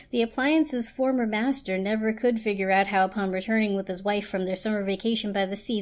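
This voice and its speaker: A woman narrates a storybook as a dramatic reading. Her voice is fairly high, and she delivers the text as a scripted tale. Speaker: story reader.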